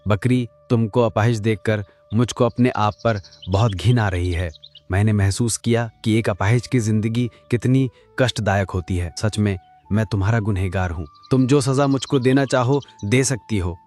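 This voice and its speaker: A man's voice: fairly low pitch, normal speed, darkish tone, faint music behind, lightly acted. A man voicing a proud male donkey king in a Hindi cartoon, talking dramatically. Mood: remorseful. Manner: dramatic, exclaiming. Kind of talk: cartoon voice acting.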